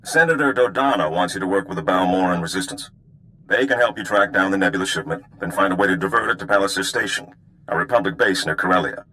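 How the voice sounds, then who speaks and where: An actor playing a sly, easygoing underworld operative giving briefings in a video game. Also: male voice, deep voice, quick pace, pushed and bright, poor audio. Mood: briefing, wry.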